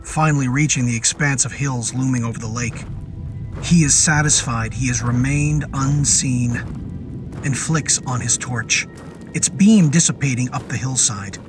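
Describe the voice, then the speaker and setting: A man narrating a scripted horror story in a deliberate, literary storytelling manner. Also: mid-range voice, over music. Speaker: narrator and performer.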